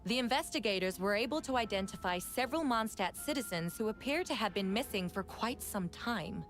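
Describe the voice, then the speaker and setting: A woman's voice: medium pitch, animated, soft background music, natural voice. Woman playing a composed, formal investigator. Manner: measured, formal. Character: composed, formal female investigator testifying in a fantasy court. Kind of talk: video game voice acting.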